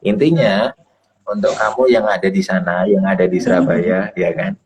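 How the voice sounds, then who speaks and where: A man's voice: sits low, steady tone, normal speed, a little mellow, poor audio. A man from East Java giving a casual live-stream talk as an English teacher, explaining and repeating his points with rhetorical questions. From Kediri, East Java, Indonesia. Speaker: English teacher, guest speaker. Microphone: phone or laptop mic on a live video call.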